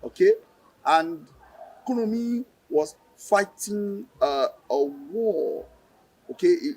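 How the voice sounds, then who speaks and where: A man with a Nigerian accent explaining in an interview, deliberate and halting, repeating phrases for emphasis. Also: on the high side, a little mellow, slightly rough, rough recording. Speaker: lecturer and play director interviewed.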